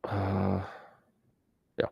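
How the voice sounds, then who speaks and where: Accented male livestream host, low gravelly voice, dry close sound, rambling off-the-cuff delivery. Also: monotone, very slow, dry recording, lo-fi sound. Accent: non-native English. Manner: rambling, filler-heavy, greets chat mid-sentence. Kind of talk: casual live-stream commentary.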